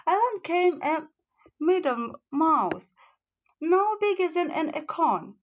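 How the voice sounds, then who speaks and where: A woman's voice: very high voice, very animated, takes it slowly. A woman reads a children's fairy tale aloud as a storybook narrator, stumbling now and then over her words. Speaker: storybook reader. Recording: slightly dull, lo-fi sound.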